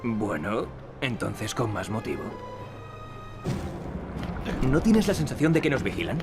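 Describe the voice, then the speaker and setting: An actor with a Castilian Spanish accent playing a cocky, wisecracking young adventurer in a video game. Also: male voice, on the low side, very animated, a little slow. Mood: teasing, wry. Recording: game music behind the voice. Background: game music.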